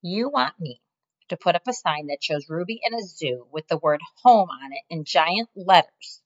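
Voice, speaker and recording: A woman voicing a stubborn, reluctant middle-aged father with a flat manner, on a muffled lo-fi recording. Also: on the low side, normal speed, natural voice.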